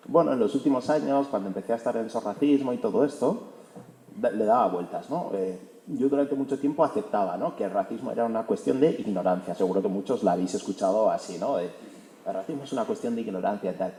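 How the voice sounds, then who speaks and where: A man's voice: fairly low pitch, mellow. A young man from Spain giving a fluent public talk about his book, often tagging his sentences with a questioning "no". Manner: fluent, peppers speech with "¿no?". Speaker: author presenting his book. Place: auditorium hall. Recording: echoey hall recording.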